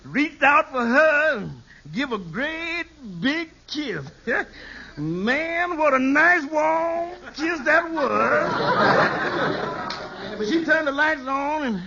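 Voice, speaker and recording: An actor playing an excitable, girl-chasing shady lawyer in an old radio sitcom, spinning tall tales with folksy grammar and chuckles. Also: extremely high pitch, extremely slow, gravelly, heavily acted. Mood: gleeful. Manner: chuckles through his stories, folksy nonstandard grammar. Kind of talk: 1950s radio sitcom acting. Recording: muffled, noisy old radio recording.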